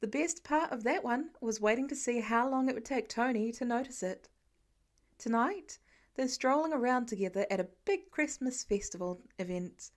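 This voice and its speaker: A woman's voice: fairly high pitch, expressive, normal speed. A woman reading a scripted story aloud as an audiobook narrator.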